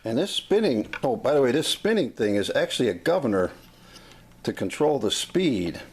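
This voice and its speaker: An American man giving a casual, unscripted demonstration as a hobbyist, talking in a folksy, plain-spoken, halting way. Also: medium pitch, big pitch swings. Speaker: hobbyist demonstrating a vintage gadget. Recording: clearly noisy amateur recording.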